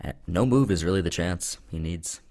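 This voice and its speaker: A man giving live play-by-play commentary on a competitive game, voice jumping up with excitement at big moments. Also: deep voice, normal speed, gravelly. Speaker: esports commentator. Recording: music under the voice.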